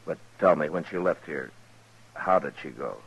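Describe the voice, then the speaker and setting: Very deep, gravelly frontier marshal. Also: male voice, lively intonation, normal speed, lo-fi sound, full character voice. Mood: probing, careful. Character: stern, steady frontier lawman, a US marshal. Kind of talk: old-time radio western acting. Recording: noisy old radio drama recording.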